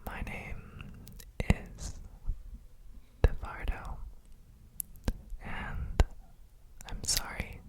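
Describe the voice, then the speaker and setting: A woman whispering a guided sleep relaxation in a breathy, gentle, soothing manner, as an ASMR host with frequent pauses.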